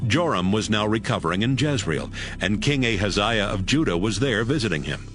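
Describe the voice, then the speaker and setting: A man's voice: fairly low pitch, animated, fairly quick. A man reading scripted narration for a dramatized audio Bible. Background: music.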